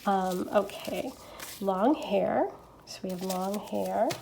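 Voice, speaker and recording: A woman with a stuffy nose from allergies, chatting gently through a tarot reading with lots of fillers and little checks. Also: medium pitch, slow, vocal fry, a little hiss or hum. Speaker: tarot reader. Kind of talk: casual pick-a-card tarot reading.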